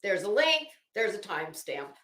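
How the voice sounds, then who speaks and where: Sardonic woman with a bright, echoey sound. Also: medium pitch, sing-song, normal speed. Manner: sardonic, incredulous asides; blunt, swears casually. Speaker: host of commentary show. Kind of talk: reading a court document aloud with running commentary. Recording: roomy, echoing sound.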